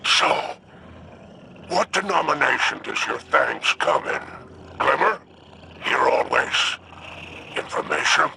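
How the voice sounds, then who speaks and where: Sly, greedy alien gangster for a game: very gravelly, slow wheedling drawl. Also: male voice, mid-range voice, huge pitch swings, sharp tone, a little hiss or hum, full character voice. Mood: sly, teasing. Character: sly, mercenary alien crime boss. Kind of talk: video game character acting.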